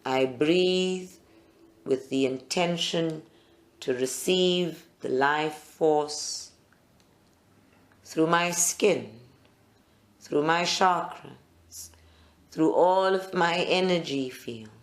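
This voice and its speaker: A meditation guide leads a live group session in short, deliberate phrases with pauses between them. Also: medium pitch.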